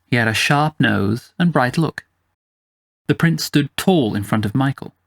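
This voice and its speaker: A male storyteller reads a scripted fantasy tale in the first person. His pitch sits in the middle range for a man. The recording is dry and free of room echo. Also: animated, a little slow, creaky. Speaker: storyteller, narrator.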